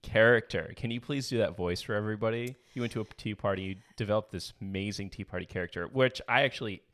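A man with a low voice talks casually as a podcast co-host. He thinks aloud in an analytical, slightly hesitant way. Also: fast, fairly bright tone. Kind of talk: casual chat.

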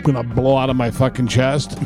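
A man co-hosting a podcast, telling stories casually and comically in a boisterous, profane, animated way. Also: mid-range voice, a little slow, over music. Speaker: podcast co-host.

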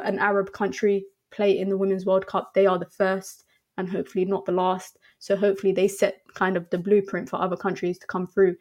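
A woman's voice: medium pitch, a little mellow, lo-fi sound. A young adult woman talking football as a podcast guest, chatty with frequent ums and restarts. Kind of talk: casual football chat.

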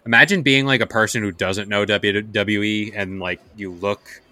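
A man hosting a casual podcast, talking in an excited, rambling way. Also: on the low side, normal speed, dry recording. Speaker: podcast host. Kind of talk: casual chat.

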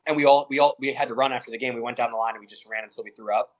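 A man's voice: mid-range voice, steady tone, rapid-fire. A young man, a college baseball player, talking easily as a podcast guest and telling stories. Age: young adult. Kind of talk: casual interview chat.

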